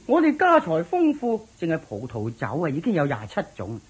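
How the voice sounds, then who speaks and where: A pompous wealthy master of the house in an old-fashioned radio drama. His voice sits fairly low, but it rises and falls a great deal as he boasts and barks orders. The tone is unusually smooth and resonant. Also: huge pitch swings, fairly quick, heavily acted. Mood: boastful. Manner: smug, self-satisfied, chuckling, commanding servants.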